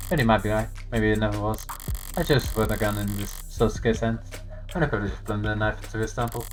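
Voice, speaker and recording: An actor voicing a cool, guarded professional lawyer in a video game, dryly and tersely, in measured lines. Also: medium pitch, fairly even intonation, natural voice, poor audio. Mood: brooding, cold. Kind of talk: voiced visual novel, inner monologue and dialogue. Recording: game audio with music behind. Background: music.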